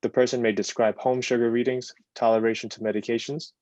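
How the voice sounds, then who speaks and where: A man's voice: mid-range voice, level tone, fast talker, a little mellow, dry recording. A man on a research panel giving a scripted, explanatory answer as if reading it out. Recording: dull, lo-fi, dry sound.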